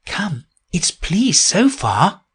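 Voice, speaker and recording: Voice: mid-range voice, huge pitch swings, very slow, a bit bright, slightly rough, voice pushed up, exaggerated voice. A storybook reader voicing a polite, puzzled little girl, earnest and prim, a little timid.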